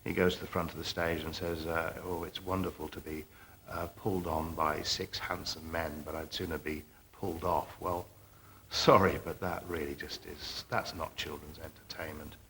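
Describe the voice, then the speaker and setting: A man with a very low voice gives his opinion in an interview. He sounds disapproving and picks his words haltingly, with faint noise behind. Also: little pitch movement, fairly bright tone, low-quality recording. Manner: disapproving, hesitant delivery. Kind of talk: opinionated talking-head interview. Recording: faint background noise, VHS tape.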